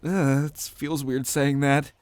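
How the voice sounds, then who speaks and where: A man's voice: mid-range voice, little pitch movement, slow, darkish tone, no echo, close to an everyday voice. A man voicing a cheeky, playful young demigod femboy in an ASMR roleplay audio drama. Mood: unsure.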